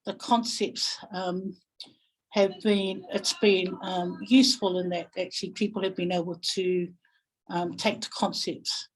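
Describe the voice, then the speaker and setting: A woman with a New Zealand accent speaking reflectively in a webinar, pausing thoughtfully and searching for her words. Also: fairly low pitch, flat delivery, poor audio. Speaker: guest webinar speaker, family violence practitioner.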